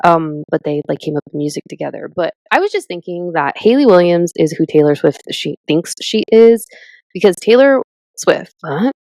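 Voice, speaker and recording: A woman in her thirties co-hosting a podcast in casual chat, animated and chatty, with lots of "like" and "literally". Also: on the low side, normal speed, no room sound, poor audio. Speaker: podcast co-host.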